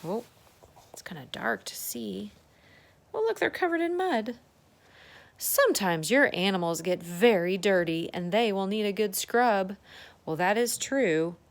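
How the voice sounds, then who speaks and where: A woman's voice: medium pitch, lively intonation, measured pace. A woman reading a children's storybook aloud as a circle-time teacher, adding chatty asides, exclamations and questions for young listeners.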